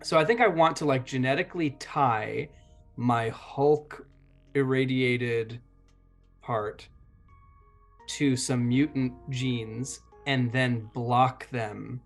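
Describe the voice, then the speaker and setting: A man playing a tabletop game, describing his character's actions casually and deliberately, pausing to think aloud. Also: mid-range voice, soft background music.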